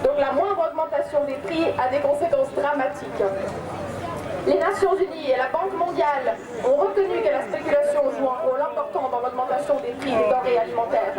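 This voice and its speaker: A woman's voice: sits high, fairly quick. A young woman giving a prepared political speech as a youth campaign speaker. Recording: echoey, distant mic, crowd noise.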